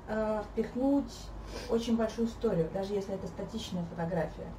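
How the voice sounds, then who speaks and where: A woman in her thirties, a guest on a sofa in a living room, talking in a thoughtful, measured way, recorded by a camera across the room. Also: medium pitch, mellow. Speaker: guest, fairy-tale therapist. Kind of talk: casual conversation. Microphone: fixed camera across the room. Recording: echoey room, mic at a distance, faint hiss.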